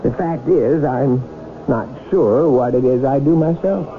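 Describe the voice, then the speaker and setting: An actor playing a skeptical, secretive, stubbornly literal husband with dry wit and formal wording, in 1970s radio drama style. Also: male voice, mid-range voice, measured pace, a little hiss or hum, close to an everyday voice. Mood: evasive, deadpan. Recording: tinny old radio broadcast, music behind.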